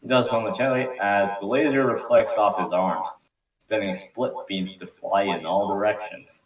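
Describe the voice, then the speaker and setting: Deep-voiced man narrating in a roomy space. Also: steady tone, normal speed, highs cut off, a crowd in the background. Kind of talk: amateur scripted narration at table read. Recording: roomy, distant mic with faint noise.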